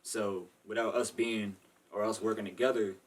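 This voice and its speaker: A man's voice: fairly low pitch, steady tone, moderate pace, far from the mic. A young man from Maryland answering interview questions in loose, rambling casual talk full of "you know" and "like". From Maryland, USA. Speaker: guest.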